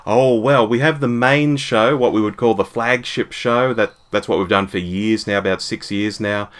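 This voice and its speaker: A man's voice: fairly low pitch, normal speed, fairly bright tone. A man in his forties talking casually as a podcast guest in an interview. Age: 40s.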